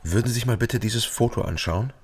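An actor playing a terse, matter-of-fact private detective who narrates and questions people in a German radio crime drama. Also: male voice, fairly low pitch, a little fast, a little hiss or hum, lightly acted. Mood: probing. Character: male East Berlin private detective, ex-policeman, sober and probing.

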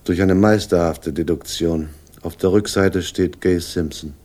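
Husky, low-voiced sardonic police inspector. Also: male voice, steady tone, dark tone. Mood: dryly superior. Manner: dry, condescending, deliberate. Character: sardonic, shrewd male police inspector, world-weary but superior. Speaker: actor in a radio crime drama. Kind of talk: 1960s German radio play acting, dialogue.

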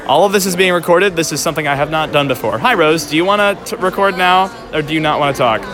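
A man's voice: a little high, fast. A man working as a podcast interviewer, chatting informally and affirming what his guests say. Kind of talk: casual convention interview. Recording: convention recording with loud background music.

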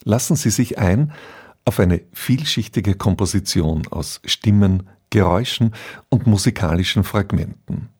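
A man's voice: lowish voice, animated, unhurried, a little mellow, breathy edge. A man presenting a scripted introduction to a radio drama.

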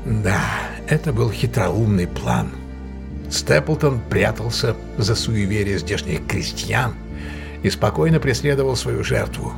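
A man's voice: lowish voice, very animated, unhurried, gravelly, exaggerated voice. An actor playing a shrewd male detective who lays out his deductions emphatically and theatrically in an audio drama. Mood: grave. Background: prominent music.

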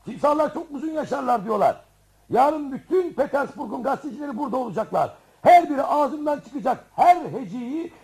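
A man's voice: extremely high pitch, darkish tone, poor audio. An actor playing a vain, self-satisfied, cheerfully deluded father in a theatrical radio comedy. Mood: delighted.

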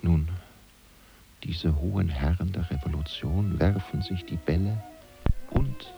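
A man's voice: low voice, lively intonation, measured pace, vocal fry, highs cut off, loud music behind the voice, full character voice. An actor playing a sly servant of a powerful statesman, narrating a German radio play in a wry, confiding way. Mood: sly, hushed. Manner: wry, confiding, hushed at times.

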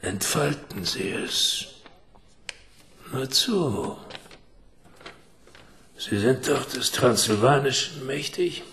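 Gravelly, ailing older gentleman. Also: mid-range voice, lively intonation, very slow, pauses often, slight background noise, full character voice. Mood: urgent, instructing. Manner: avuncular, instructing in formal address. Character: ailing, shrunken older gentleman, secretive and urgent. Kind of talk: radio drama acting.

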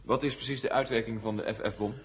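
A dull 1970 radio recording of a man playing a press reporter. He talks very fast at a middling pitch, direct and probing in a radio drama manner. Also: steady tone, lightly acted. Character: probing, brisk press reporter questioning military chiefs. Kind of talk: Dutch radio play acting, 1970.

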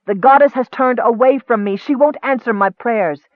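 A man's voice: high-pitched, fast talker, dry recording, natural voice. Tormented, pleading cleric, read by a man. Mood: desperate. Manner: earnest, pleading. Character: devout, tormented male cleric, protective and fearful. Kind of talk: audiobook character acting. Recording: muffled, dull lo-fi recording.

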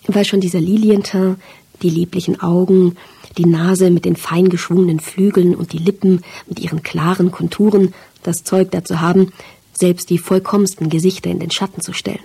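A woman's voice: on the low side, creaky, mellow, breathy edge. A woman reading a literary first-person story as a scripted audiobook narration.

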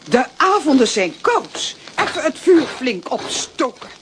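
Een vrouw speelt een zenuwachtige, snauwerige oudere tante in een kinderhoorspel, met Vlaams accent. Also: female voice, very animated, measured pace, noisy background. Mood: snappish. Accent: Flemish. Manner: shrill, fussy and snapping. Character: nervous, snappish older aunt.

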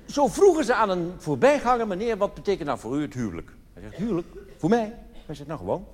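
A man's voice: sits high, very animated, fast. A man from the Netherlands performing live stand-up cabaret, telling anecdotes in a theatrical, mock-solemn manner full of rhetorical questions. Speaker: cabaret comedian. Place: theatre stage in a large hall. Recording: reverberant hall live recording with noise.

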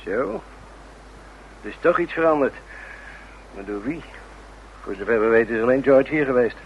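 An actor playing a matter-of-fact police inspector in a radio crime drama, a man with a measured, questioning manner. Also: medium pitch, animated, natural voice. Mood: measured, probing. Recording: muffled, faintly noisy radio recording.

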